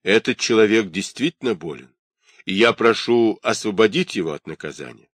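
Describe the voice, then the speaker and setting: A man's voice: lowish voice, normal speed, dry recording. A man voicing a talkative, ingratiating male prison overseer in a read-aloud story. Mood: deferential. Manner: chatty, flattering, reassuring.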